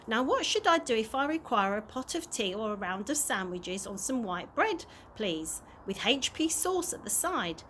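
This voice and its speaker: Genteel elderly vagrant for a children's audiobook, read by a woman, formal, courteous, entitled, very animated, slightly noisy recording. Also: a little high, normal speed, hardly any pauses. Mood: grandly expectant. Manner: polite, formal, old-fashioned speech. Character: genteel, courteous, grandly demanding old male tramp. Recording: noisy amateur home recording.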